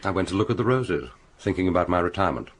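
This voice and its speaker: An English actor in a radio drama playing a jaded, burnt-out secret agent, dry, understated and politely weary. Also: male voice, sits low, animated, very fast. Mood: dry, distracted. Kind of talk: radio drama acting with inner monologue.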